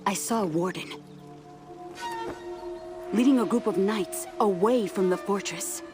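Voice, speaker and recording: A woman's voice: mid-range voice, unhurried, lightly acted. An actress playing an anxious young female scout in a video game cutscene. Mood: worried. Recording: game cutscene over clear music.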